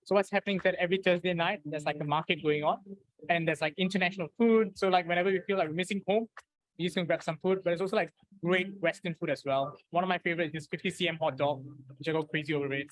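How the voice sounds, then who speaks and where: A man's voice: high voice, fairly even intonation, fast, no echo, poor audio. A young man from Singapore, a student talking about his studies in a chatty testimonial with lots of "like". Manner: chatty, filler "like". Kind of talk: testimonial interview.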